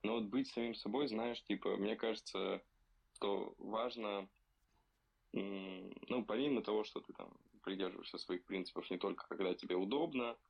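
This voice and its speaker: Gravelly low-voiced young man, hesitant. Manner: hesitant, many fillers, slow and searching. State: slightly ill. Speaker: podcast co-host. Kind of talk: casual podcast chat. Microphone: remote recording.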